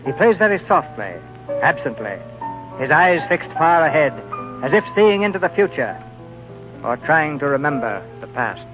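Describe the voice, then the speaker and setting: Solemn radio narrator over music. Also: male voice, fairly high pitch, very animated, normal speed. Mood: solemn, hushed. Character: solemn, atmospheric storyteller setting a misty scene. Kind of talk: scripted narration, 1940s radio drama. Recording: tinny old radio broadcast, music underneath.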